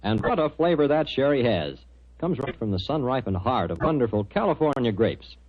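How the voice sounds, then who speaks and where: A man's voice: mid-range voice, very animated, brisk. A man with an American accent announcing for a 1940s radio show in a chummy, emphatic salesman's manner. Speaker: radio announcer and sponsor's pitchman. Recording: muffled 1940s radio broadcast.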